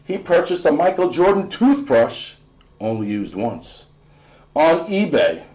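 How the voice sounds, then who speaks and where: A man reading a novel in verse aloud in an expressive, storytelling way. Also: fairly high pitch, a little slow. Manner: expressive, acts out dialogue between family members. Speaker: reader from a school community.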